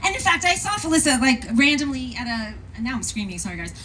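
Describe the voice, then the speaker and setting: A woman speaking as a guest on a convention panel, gushing, excitable and rambling. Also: sits high, fast talker, recorded from a distance, a little hiss or hum.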